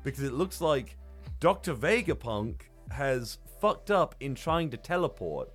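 A man chats as a podcast co-host in a mid-pitched voice, with faint music underneath. He recaps things in a loose, rambling, hesitant way and swears freely. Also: big pitch swings, a little slow, husky. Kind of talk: casual manga recap discussion.

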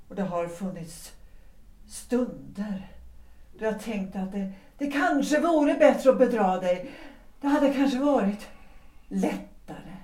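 A roomy, slightly distant recording of an actress as a resolute wife in a period radio play. She speaks lines in a measured, formal and deliberate way, at a middle pitch for a woman. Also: mellow, close to an everyday voice. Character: resolute married woman leaving her husband for love, 1906. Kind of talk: period stage-play audio drama acting.

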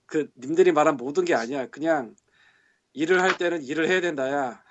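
A man's voice: fairly high pitch, brisk, slight background noise. A man on a casual podcast, venting in an exasperated, grumbling way and muttering curses. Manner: exasperated, grumbling, swears under breath. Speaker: podcast co-host, game translator. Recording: lo-fi audio.

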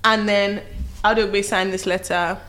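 A woman's voice: mid-range voice, steady tone, normal speed, a bit bright. A woman with a Nigerian accent hosting a quiz in casual, teasing storytelling, with dramatic emphasis. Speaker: trivia quiz host.

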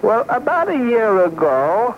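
A man's voice: sits high, sing-song. A man voicing a serene, pompous, easily affronted guru in a comic radio phone-in, solemn and preachy. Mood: solemn, lofty. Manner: slow, solemn, preachy delivery. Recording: dull lo-fi radio aircheck with hiss.

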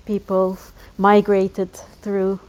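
Slow-talking woman interviewer. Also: medium pitch, completely flat delivery, a little mellow, dull audio. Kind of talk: casual conversation.

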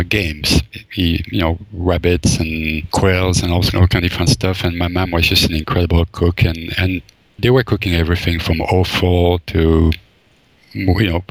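A man's voice: sits low, gravelly, dry recording, rough recording. A man from Belgium, a forager and chef, answering interview questions thoughtfully, with many fillers and restarts. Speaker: forager and wild food chef, guest.

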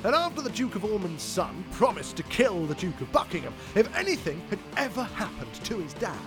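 High-voiced British comedy co-host. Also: male voice, sing-song, a little fast, over music. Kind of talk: scripted comedy banter.

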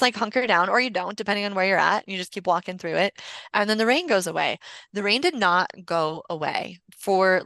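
Gravelly-edged woman telling personal stories. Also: medium pitch, bright, forceful tone, no echo. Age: 40s. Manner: candid, rambling, conversational. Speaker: podcast guest. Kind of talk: casual personal storytelling.

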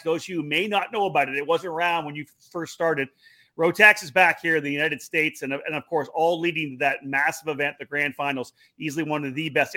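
A man's voice: on the high side, bright, forceful tone. A man from Canada co-hosting an online racing talk show, upbeat and promotional. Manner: upbeat, quick promotional delivery. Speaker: co-host.

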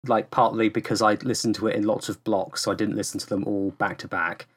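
A man's voice: on the low side, fairly even intonation, a little fast, slightly rough. Rambling man chatting as a podcast guest. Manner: rambling, loosely hedged explanations. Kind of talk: casual chat.

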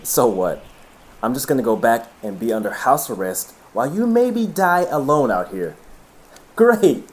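An earnest young man, lovelorn and pleading. His voice sits at an ordinary male pitch, played as audio drama. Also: big pitch swings, unhurried. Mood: dejected.

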